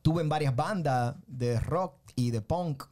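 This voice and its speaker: Mellow Dominican man telling stories. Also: fairly high pitch, slow. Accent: Dominican Spanish. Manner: animated, tag questions, repeats himself. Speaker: guest, filmmaker and musician. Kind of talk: casual interview storytelling.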